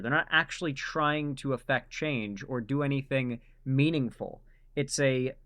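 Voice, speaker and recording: A man's voice: mid-range voice, fairly bright tone. A man hosting a reaction commentary, talking in an emphatic, mocking rant. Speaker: commentary host.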